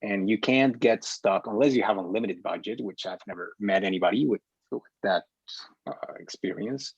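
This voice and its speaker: A man speaking as an instructor in a casual discussion, halting and often repeating words, on a muffled online call. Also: on the low side, gravelly, breathy edge, dry recording. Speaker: film instructor leading a class discussion. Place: online group video meeting.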